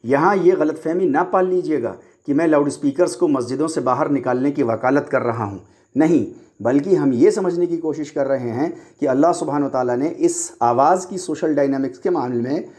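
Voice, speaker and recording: A man's voice: on the high side, normal speed, a little mellow. A man lecturing on religion in a didactic way, explaining step by step and repeating key points for emphasis.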